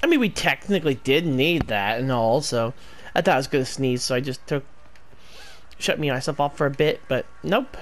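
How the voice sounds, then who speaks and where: A man's voice: medium pitch, lively intonation, normal speed, soft background music. A man giving rambling, casual commentary while playing a game. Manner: rambling, talks to himself. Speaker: gameplay streamer.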